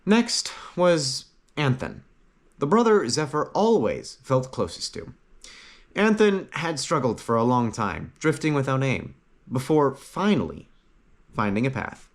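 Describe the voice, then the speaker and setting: A man reads a fantasy tale as an audiobook narrator. His medium-pitched voice rises and falls widely, and he sets off short phrases with dramatic pauses for emphasis. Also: very animated. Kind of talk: scripted fantasy story narration.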